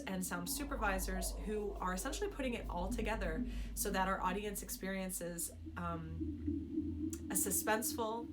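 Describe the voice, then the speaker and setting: A woman speaking as a drama director, explaining in an interview. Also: medium pitch. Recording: very noisy recording.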